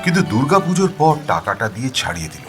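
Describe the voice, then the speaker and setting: A man narrating a first-person story in a dramatic, animated and expressive manner. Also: medium pitch, fast talker, music in the background.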